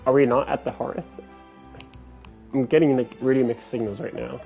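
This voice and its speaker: A man's voice: mid-range voice, steady tone, moderate pace, creaky, dull audio. A man giving casual live gameplay commentary, musing aloud in short, slangy bursts. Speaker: game streamer. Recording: game music faintly behind. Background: video game music.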